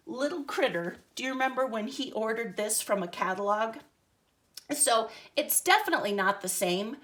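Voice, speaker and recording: Slow, lively-voiced grandmotherly storyteller. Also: medium pitch. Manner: gentle, chatty, asks children questions. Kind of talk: children's storytime reading.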